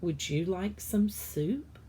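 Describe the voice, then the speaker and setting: A woman's voice: fairly low pitch, slow pace, dark tone, slight background noise. A woman voicing a fat, jolly female cook from a storybook, with hearty, folksy exclamations. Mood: earnest, folksy.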